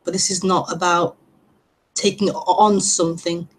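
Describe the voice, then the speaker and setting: A woman with a stutter, hosting an online meeting and giving a gentle, reflective spiritual talk with frequent repetitions and blocks. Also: lowish voice, level tone, unhurried. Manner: gentle, reflective, laughs lightly at herself. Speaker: non-duality teacher hosting an online meeting. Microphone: online call broadcast.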